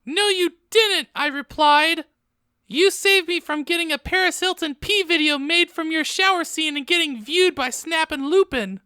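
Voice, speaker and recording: A woman's voice: very high voice, normal speed, pushed and bright, no echo, studio quality. A woman playing an angry, snarky teenage goth girl in a comic audiobook, snippy and scornful.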